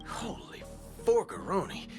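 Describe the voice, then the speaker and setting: An actor playing a brash, cartoonish cowboy in a game, with scripted lines full of exaggeration. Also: male voice, sits high, huge pitch swings, a little slow, heavily acted. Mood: brash, exasperated. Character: brash, cartoonish male cowboy with goofy substitute swears. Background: clear background music.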